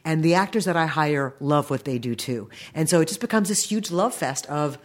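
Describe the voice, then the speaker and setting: A woman's voice: low-pitched, animated, normal speed. An American woman in her fifties telling anecdotes in a casual interview, speaking with enthusiasm and acting out quotes. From Long Island, New York, United States. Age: 50s. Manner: enthusiastic, effusive, animatedly quoting people in stories. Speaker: interview guest, animation voice director.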